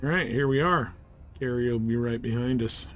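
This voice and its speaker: A man's voice: mid-range voice, moderate pace, a bit bright, slight background noise. A man chatting casually and in a rambling, laid-back way as he streams a game. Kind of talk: casual chat while playing.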